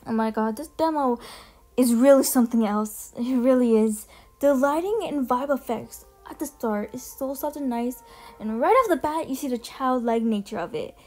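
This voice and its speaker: A woman with a high, slightly mellow voice talks in casual video commentary over clearly audible music. She is emphatic and gushing, presenting a ranking like a fan and swearing freely. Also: moderate pace. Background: music under the voice.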